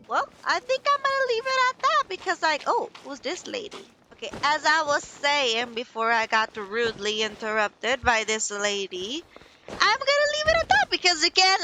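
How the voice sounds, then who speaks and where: A woman's voice: high voice, pushed and bright, poor audio. A young woman giving chatty, rambling, playful commentary as she plays a game. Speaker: gaming streamer. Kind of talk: casual let's play commentary. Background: game music.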